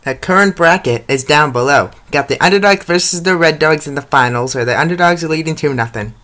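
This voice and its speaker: A man reading scripted narration and play-by-play for an animated hockey comic, in short announcer-like phrases. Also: fairly high pitch, a little fast. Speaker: narrator and creator of a comic dub series.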